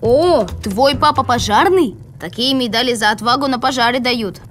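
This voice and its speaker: A woman voicing an earnest, sensible young boy in an animated cartoon. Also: fairly high pitch, normal speed, natural voice. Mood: curious. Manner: earnest, clear-headed. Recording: light background music.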